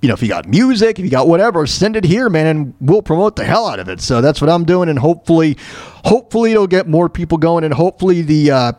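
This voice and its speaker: Lively, higher-pitched man hosting a show. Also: quick pace, no room sound, clean studio sound. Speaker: show host. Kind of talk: casual rambling talk, show sign-off.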